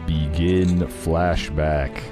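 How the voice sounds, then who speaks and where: Very deep-voiced man narrating over music. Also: little pitch movement, very slow. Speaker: narrator in a group fanfiction reading. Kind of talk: scripted narration mixed with casual chat. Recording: music behind the voice. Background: background music.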